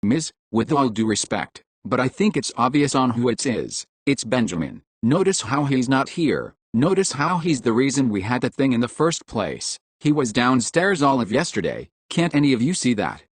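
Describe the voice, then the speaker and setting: A man acts out a suspicious lab employee in an amateur audio drama. His voice is rough-edged and swings expressively, recorded close and dry. He presses every point with insistence. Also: mid-range voice, sing-song, moderate pace, hardly any pauses, dry recording. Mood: accusing. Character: accusing male lab employee named Zachary, confrontational, suspicious.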